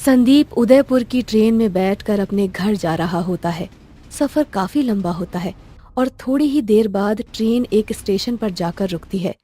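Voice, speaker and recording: A woman's voice: mid-range voice, measured pace, dark tone. A woman storyteller reading scripted narration for a cartoon tale. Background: faint music.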